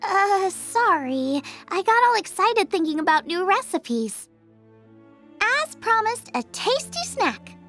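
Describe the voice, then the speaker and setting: A woman voicing an eager, bubbly young girl chef in a video game, with a girlish voice and an excitable, chirpy delivery. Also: takes it slowly, faint music behind, exaggerated voice. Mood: cheerful. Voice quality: high, girlish. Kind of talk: video game character acting.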